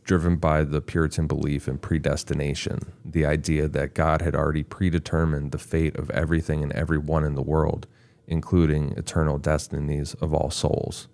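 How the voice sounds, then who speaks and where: A man co-hosting a podcast, reading a prepared history segment in a steady voice. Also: extremely low pitch, vocal fry. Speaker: podcast co-host, researcher. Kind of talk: scripted narration.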